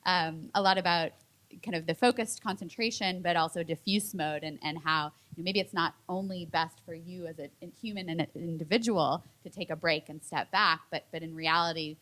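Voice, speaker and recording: A woman's voice: fairly low pitch, steady tone, a little fast, a bit bright, low-quality recording. A woman speaking thoughtfully on a public panel, building long sentences with frequent restarts. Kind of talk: panel discussion.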